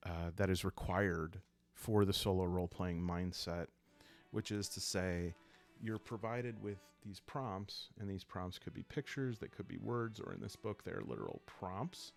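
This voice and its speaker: A man hosting a solo role-playing game channel, explaining in a calm, unhurried ASMR style with halting, reflective phrasing. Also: low-pitched, gravelly, faint music behind.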